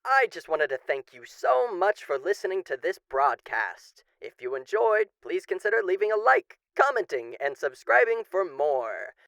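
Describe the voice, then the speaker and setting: A man's voice: high voice, very animated, moderate pace, gravelly, hardly any pauses, fairly bright tone, dry recording, clean studio sound. A man hosting a roleplay channel, giving a showy, announcer-like thank-you to his listeners. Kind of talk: scripted-sounding thank-you outro to listeners.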